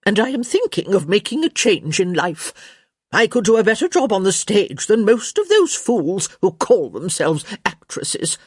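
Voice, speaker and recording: A woman voicing an elderly countess, sharp, theatrical and blunt, in a story reading. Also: medium pitch, very animated, measured pace, slightly rough, no echo, clean studio sound, pitched above the performer's own voice, exaggerated voice. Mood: huffy, theatrical.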